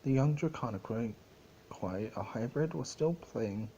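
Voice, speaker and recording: A man giving an amateur dramatic reading of a fantasy story, theatrical but halting, stumbling over words. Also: medium pitch, lively intonation, warm tone, dull audio, a little hiss or hum. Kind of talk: dramatic storybook narration of fan fiction.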